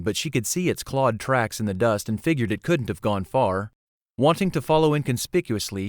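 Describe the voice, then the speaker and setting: A man narrates a fantasy audiobook in a medium-pitched voice. The delivery is scripted storytelling, recorded close with no room sound. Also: no room sound. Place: close, dry recording space.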